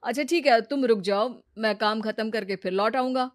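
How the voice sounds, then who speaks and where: A woman voicing a sensible, patient adult man, measured and gently advising, in a cartoon moral story. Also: fairly high pitch, no echo, clean studio sound, lightly acted.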